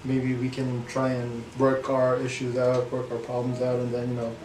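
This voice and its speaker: A young man whose first language is English and second is Korean, speaking as an interview guest with many fillers, halting and soft about painful memories. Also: mid-range voice, monotone, reverberant, distant mic, low-quality recording. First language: Korean is his second language; English first. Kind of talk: candid personal interview.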